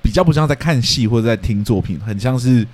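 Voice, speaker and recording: A man's voice: medium pitch, lively intonation, quick pace, darkish tone. A man with a Taiwanese accent, co-hosting a podcast in casual discussion. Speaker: podcast co-host.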